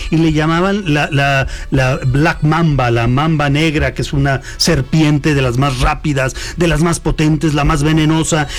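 Mexican man telling reflective anecdotes. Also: a little high. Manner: reflective storytelling, hesitant "eh" restarts. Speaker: guest. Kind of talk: conversational interview.

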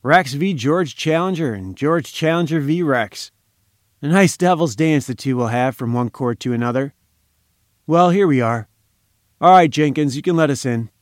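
A man playing a brisk, friendly young journalist with a breezy manner. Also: a little high, expressive, dry recording, clean studio sound, close to an everyday voice.

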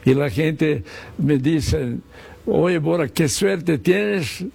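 Gravelly Serbian-accented man in his 60s. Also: on the high side, lively intonation, a little mellow. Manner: halting, many fillers and repeated words. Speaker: guest, former football coach. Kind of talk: casual interview reminiscence.

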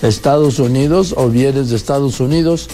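Male news presenter, warm and dark, relaxed delivery, faint music. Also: mid-range voice, normal speed. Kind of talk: news bulletin.

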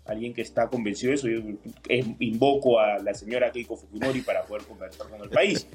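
A man from Peru giving measured political analysis as an interview guest, with many hesitations. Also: medium pitch, steady tone, darkish tone, faint music behind. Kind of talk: interview on air.

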